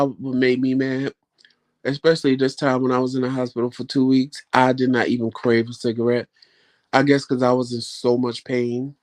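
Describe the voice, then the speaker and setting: A man hosting a vlog live stream, chatting casually with viewers in a rambling, folksy way. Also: mid-range voice. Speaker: vlog host answering live chat.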